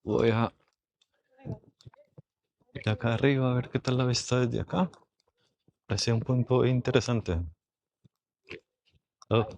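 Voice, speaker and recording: A man with a hoarse, slightly rough voice records a travel vlog out in the desert. He talks at a mid pitch, chatty and thinking aloud to the viewers. The sound is dry, with little room around it. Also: takes it slowly, pauses often, darkish tone, no echo. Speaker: travel vlogger. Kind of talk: casual on-location vlogging. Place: outdoors in a desert national park.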